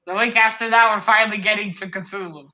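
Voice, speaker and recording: A woman's voice: medium pitch, fairly even intonation, a little fast, sharp tone, low-quality recording. A woman co-hosting a casual book discussion on a live stream, talking informally and jokingly. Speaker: co-host of a literary read-along stream.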